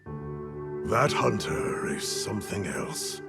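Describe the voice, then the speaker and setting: An actor playing a grave, commanding guild leader in a video game, middle-aged, speaking formally and deliberately with a gravelly voice. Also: male voice, very animated, loud music behind the voice, full character voice. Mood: grave, reflective. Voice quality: deep, gravelly. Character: grave, commanding senior male guild leader, measured and authoritative. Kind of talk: dramatic video game cutscene acting.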